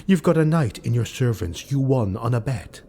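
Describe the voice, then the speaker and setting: A man's voice: mid-range voice, lively intonation, normal speed, vocal fry, dark tone. A man voicing a sly, mocking rogue retainer in an audiobook, deferential and insinuating.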